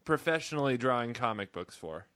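A man's voice: mid-range voice, expressive, a little fast, a bit bright. A man in his thirties co-hosting an interview, eager and stammering with repeated restarts. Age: 30s. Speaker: podcast co-host. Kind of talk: casual interview chat.

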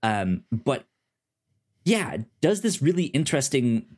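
A man co-hosting a casual TV review discussion, speaking haltingly and stammering over repeated syllables. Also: mid-range voice, sing-song, darkish tone, husky. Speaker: podcast co-host.

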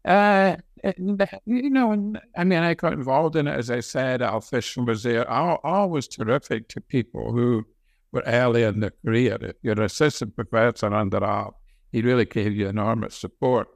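A man's voice: mid-range voice, creaky, no room sound, studio quality. An older man from Scotland with a Scottish accent, reminiscing conversationally as an interview guest, often repeating words as he goes. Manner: halting, repeats words as he talks. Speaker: interview guest, senior medical professor and researcher.

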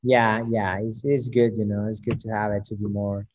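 A man whose first language is Spanish, a professional soccer player answering interview questions in English, often halting to search for words. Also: lowish voice, little pitch movement, muffled recording.

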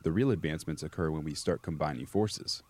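Man playing a deep-voiced, imperious senior scientist. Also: background noise. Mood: formal, composed. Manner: formal, measured, dismissive. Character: calm, imperious senior male scientist running secret labs. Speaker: doctor, lab director. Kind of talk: audio drama acting.

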